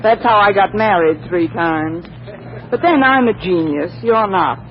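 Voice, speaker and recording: A woman's voice: mid-range voice, lively intonation, a little slow, close to an everyday voice. Dry, wisecracking roommate played by a woman, muffled radio. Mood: dry, grumpy. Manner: dry, drawling, bossy. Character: wisecracking, worldly roommate, a thrice-married woman. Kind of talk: 1940s radio comedy acting. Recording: dull, noisy 1940s radio recording.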